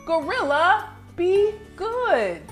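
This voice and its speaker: A woman voicing a young child in a storybook who pleads with a naughty pet in drawn-out exclamations. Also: very high voice, big pitch swings, faint music behind, voice pushed up, heavily acted. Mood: pleading scolding.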